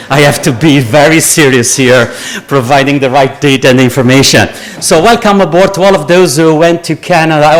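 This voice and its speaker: Man from the azores, middle-aged, conference speaker, jet-lagged, chatty digressive lecture, jokes with the room. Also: fairly high pitch. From Azores, Portugal. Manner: chatty, digressive, joking asides to the audience. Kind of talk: academic conference talk.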